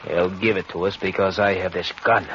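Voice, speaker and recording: A man's voice: sits low, steady tone, a little fast, fairly bright tone, faint music behind. An actor playing a ruthless young male escaped officer who talks in a cold, commanding, threatening way, in 1940s radio acting style. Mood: menacing. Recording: dull, faintly hissy 1940s radio.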